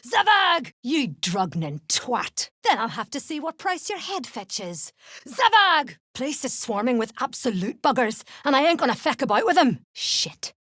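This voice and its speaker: Rough duergar clan fighter for a game, coarse, threatening, very dry sound. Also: female voice, high voice, sing-song, a little slow, fairly bright tone, no room sound, full character voice. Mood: sharp, threatening. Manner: coarse, blunt, menacing. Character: tough, foul-mouthed female duergar mercenary clan fighter, threatening. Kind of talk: fantasy game character acting.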